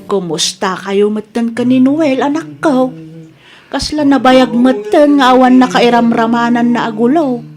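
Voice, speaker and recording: A woman's voice: on the high side, a little slow, music in the background, lightly acted. A woman with an Ilocano accent playing a concerned, caring older mother in a radio drama.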